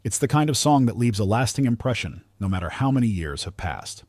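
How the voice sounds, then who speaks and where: A man's voice: lowish voice, very animated, a little fast, darkish tone. A man narrating a scripted countdown in a polished, earnest delivery, as the narrator.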